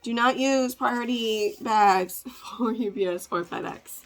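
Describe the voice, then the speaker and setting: A woman with a congested, raspy voice, chatting casually as she packs orders to ship at home, rambling with lots of fillers. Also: on the high side, unhurried, poor audio. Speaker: part-time online reseller. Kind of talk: casual ship-with-me vlog chat.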